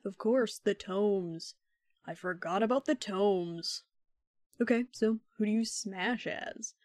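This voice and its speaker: A woman playing a flippant, rambling young sibling with a deadpan, slangy manner in an audio drama. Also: medium pitch, slow pace, dry recording, studio quality, lightly acted.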